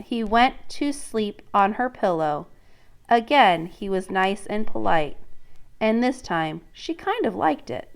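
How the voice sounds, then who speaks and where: A woman teacher reading a children's story aloud in an expressive, deliberate way, pausing to prompt and question young listeners. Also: on the high side, vocal fry.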